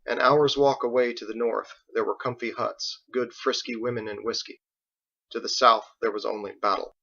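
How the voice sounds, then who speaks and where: Male audiobook narrator, forceful and gravelly, reading a story on a dull lo-fi recording. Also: medium pitch, fairly even intonation, moderate pace. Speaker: author reading own novel aloud.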